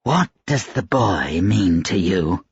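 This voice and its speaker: A man voicing a cold, educated gentleman lord in an audiobook, quiet and steady, calmly threatening. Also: fairly low pitch, slightly rough, no room sound, lo-fi sound, heavily acted. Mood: calm, curt. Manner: calm, collected, deliberate threats. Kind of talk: audiobook character dialogue.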